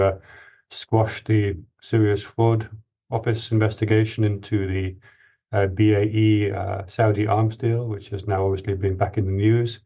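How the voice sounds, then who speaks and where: A man in his forties from Greece, a guest expert giving measured, explanatory interview answers with restarts. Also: fairly low pitch, dark tone, lo-fi sound.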